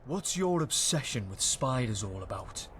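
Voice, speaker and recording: A man's voice: mid-range voice, sing-song, normal speed, fairly bright tone, a little hiss or hum. An actor playing a wry, easygoing male warrior companion in a fantasy video game, teasing and dry.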